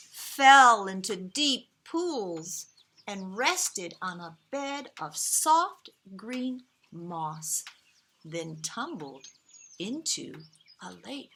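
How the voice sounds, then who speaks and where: Woman reading expressively to children, very animated pitch. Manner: dramatic pauses, emphatic key words, gentle asides to young listeners. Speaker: storyteller reading a picture book to children. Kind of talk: expressive children's read-aloud. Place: outdoors.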